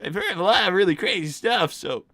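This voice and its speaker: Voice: mid-range voice, lively intonation, fairly bright tone, no echo. A performer voicing an awkward young male fox who stammers and restarts his sentences, in improvised live character roleplay. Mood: flustered. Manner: stammering, halting, with many restarts. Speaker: character voice actor on a live stream.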